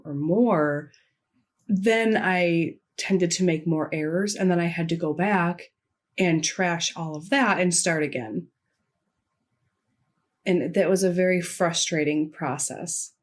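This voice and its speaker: A woman voicing a signed conference keynote into spoken English, delivering it in short, measured phrases with pauses. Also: lowish voice, fairly even intonation. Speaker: sign language interpreter voicing a keynote. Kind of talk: simultaneous interpretation of a conference talk.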